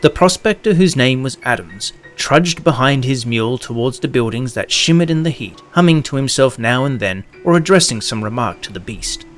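A man's voice: medium pitch, expressive, moderate pace, hardly any pauses. Male story narrator over music. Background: background music.

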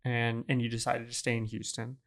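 Podcast host: male, middle pitch, gravelly edge, curious, constant murmured agreement. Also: completely flat delivery, a little fast. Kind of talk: interview conversation.

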